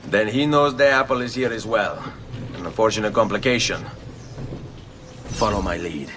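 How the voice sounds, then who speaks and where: Casting: male actor as calm Italian assassin, low register, measured and determined, game cutscene, faint noise behind. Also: lightly acted. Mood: businesslike. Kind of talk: video game cutscene acting.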